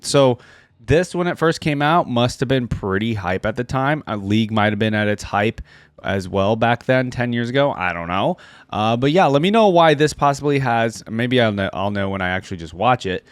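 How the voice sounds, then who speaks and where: A man's voice: mid-range voice, dry recording. A man hosting a reaction video, giving casual off-the-cuff commentary and musing aloud in short remarks.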